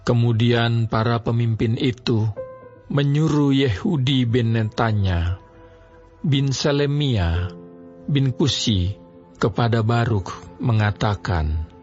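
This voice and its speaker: A man narrating a Bible reading in a slow, measured, even manner. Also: mid-range voice, lo-fi sound. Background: music.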